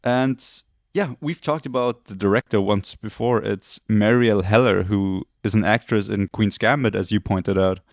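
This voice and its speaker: A slightly hoarse man co-hosting a podcast, talking in a rambling, hesitant way and often correcting himself. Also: lowish voice, normal speed, hardly any pauses, dry recording, clean studio sound. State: losing his voice, throat clearing. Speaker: podcast co-host. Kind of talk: casual chat.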